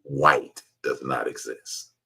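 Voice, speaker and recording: Deep, husky-voiced American man. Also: steady tone, slow, pushed and bright. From United States. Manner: emphatic, preachy, repeats phrases for stress. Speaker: host of an online commentary show. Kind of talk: informal opinion monologue to viewers.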